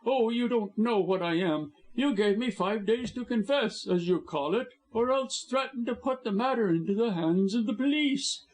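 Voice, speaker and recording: Man doing a scheming lawyer, very high shrill voice, sneering, threatening. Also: animated, moderate pace, hardly any pauses, a little mellow, rough recording. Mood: savage. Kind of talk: audiobook reading.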